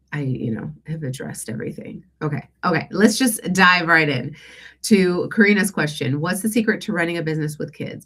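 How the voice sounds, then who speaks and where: A woman hosting a casual live coaching Q&A, congested from allergies, talking conversationally with hesitant pauses and check-ins with her listeners. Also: sits low, fairly bright tone, husky. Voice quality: stuffy, congested nose. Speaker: host and life and business coach.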